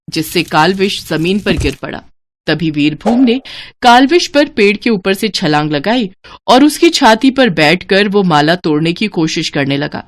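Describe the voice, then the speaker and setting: A woman narrator reading a scripted bedtime tale in an expressive, dramatic storytelling style. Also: on the low side, a little hiss or hum.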